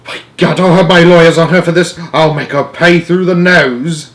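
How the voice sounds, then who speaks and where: A man voicing a furious, blustering English father in a storybook, spluttering and stammering as he shouts. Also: on the high side, steady tone, moderate pace, voice pushed up, natural voice. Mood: indignant.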